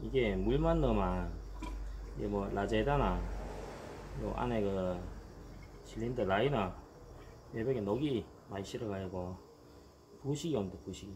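A man's voice: fairly low pitch, measured pace, long pauses, a little mellow. Grumbling middle-aged man fixing farm gear. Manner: blunt, colloquial, grumbles while working. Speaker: farm machinery repair vlogger. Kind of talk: casual how-to explanation while working. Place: outdoors on a gravel farmyard. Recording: outdoor ambient noise.

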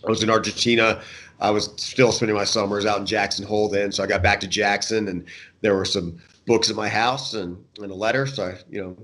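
A man's voice: fairly low pitch, fairly even intonation, fairly quick, a bit bright. A man in his thirties or forties, talking casually and thoughtfully as an interview guest. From United States. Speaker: interview guest, fishing guide. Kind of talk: casual reflective interview chat. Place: indoor room, remote video call.

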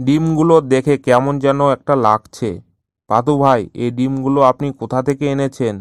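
A man voicing a grumbling, easily outraged tiger who farms eggs in an animated cartoon. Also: mid-range voice, moderate pace, clean tone, no echo, studio quality, lightly acted. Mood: puzzled, suspicious. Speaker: egg seller in an animated fable. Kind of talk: cartoon voice acting.

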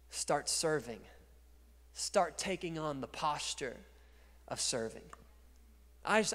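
A man in his thirties preaching a sermon as pastor on a church stage, speaking into a handheld mic and swinging from quiet to loud. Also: fairly high pitch. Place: large dark church stage. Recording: long reverb of a large church hall.

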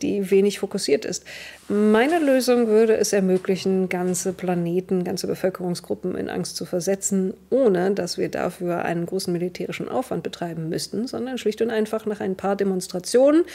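Woman playing a hushed, menacing Imperial scientist. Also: lowish voice, vocal fry. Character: Imperial scientist pitching a weapon of fear.

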